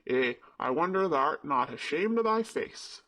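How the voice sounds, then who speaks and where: A man's voice: a little high, expressive, sharp tone. A man voicing a young girl who proudly puts on a broad Yorkshire dialect. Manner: slow, proud, deliberately broad.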